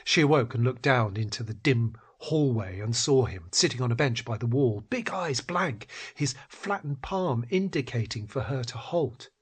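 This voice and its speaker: A man reading scripted literary fiction in a husky, breathy voice that often drops toward a whisper, with measured, dramatic phrasing. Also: mid-range voice. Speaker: narrator. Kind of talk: scripted literary story narration.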